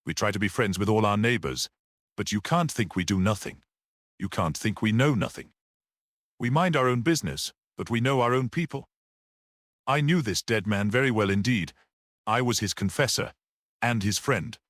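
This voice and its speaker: A man voicing a gentle, shrewd priest detective in a story, speaking simply and earnestly with quiet certainty. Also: on the low side, dry recording, studio quality.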